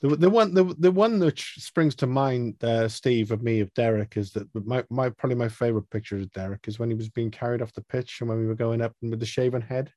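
A man hosting a phone-in show, asking rambling, halting questions. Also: mid-range voice, dark tone, no echo, clean studio sound. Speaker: host. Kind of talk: casual chat.

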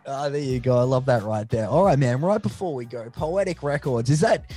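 A man's voice: mid-range voice, brisk, dark tone, breathy edge, soft background music. An Australian man hosting a casual interview in a matey, affirming way. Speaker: interview host.

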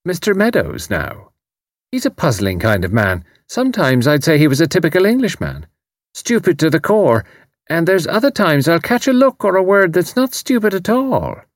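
Audiobook role, male reader: elderly Irish grandmother, genial yet nosy and domineering, high swooping delivery, insinuating emphasis. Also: big pitch swings, moderate pace, no room sound, clean studio sound. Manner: insinuating, emphatic, cuts in bluntly. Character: genial but probing, overbearing older Irish grandmother. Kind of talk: audiobook character voice.

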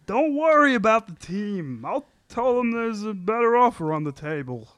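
Man as sitcom husband, high-pitched, casual, boastful, dry recording. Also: sing-song, moderate pace, a bit bright, no echo. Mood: casual, smug. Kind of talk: sitcom table read.

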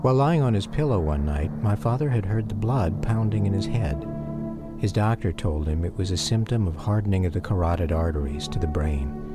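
A grown American son looking back on his father in a radio drama. His voice is low and moves expressively through measured, grave storytelling. Music plays clearly behind him. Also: animated. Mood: grave, reflective.

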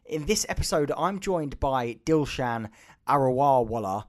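Upbeat male podcast host. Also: medium pitch. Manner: upbeat, laughing, many fillers and restarts in conversation. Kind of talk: scripted intro and read ad, then casual interview chat.

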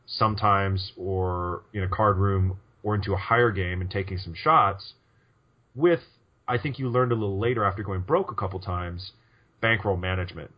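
A man's voice: sits low, husky, muffled recording. A man co-hosting a poker podcast in casual chat, rambling with lots of restarts and fillers. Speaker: podcast co-host.

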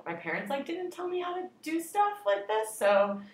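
A woman's voice: extremely high pitch, big pitch swings, normal speed, reverberant. A woman co-hosting a podcast, telling a long self-deprecating story in a casual, rambling way. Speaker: podcast co-host. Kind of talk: casual chat.

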